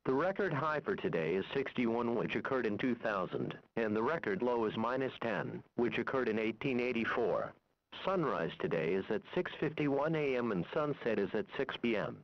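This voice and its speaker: Male weather radio voice, even measured reading of stats, kinda dull lo-fi radio audio. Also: mid-range voice. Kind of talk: automated weather broadcast. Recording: lo-fi radio recording.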